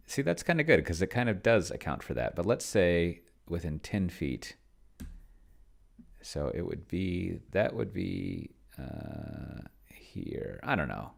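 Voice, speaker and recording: Man, bass voice, swooping intonation, slow pace, casual self-directed game commentary, halting phrasing. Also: vocal fry. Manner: halting, thinking out loud, muttering to himself. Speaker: solo tabletop gamer, video host. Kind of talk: casual think-aloud gameplay commentary while typing.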